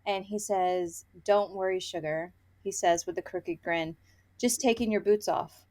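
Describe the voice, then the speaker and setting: A woman's voice: medium pitch, unhurried, darkish tone. Chatty woman co-hosting a book podcast. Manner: informal, chatty, gushing, frequent "like". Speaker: book review podcast co-host. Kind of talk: casual book chat with read-aloud quotes.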